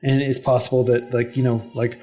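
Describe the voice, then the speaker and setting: A man's voice: medium pitch, level tone, a little fast, warm tone. Chatty man explaining in an interview. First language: English. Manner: chatty, explanatory, lots of "like" and "you know" fillers. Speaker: interview guest, head of a coding non-profit. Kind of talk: casual podcast interview.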